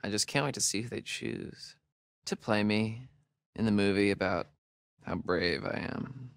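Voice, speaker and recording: A man's voice: lowish voice, unhurried, gravelly, no room sound, studio quality. A young American man playing a nervous, wisecracking guy in a horror game, with a hesitant, sardonic, slangy delivery. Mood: wry, uneasy. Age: young adult. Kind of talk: naturalistic video game acting.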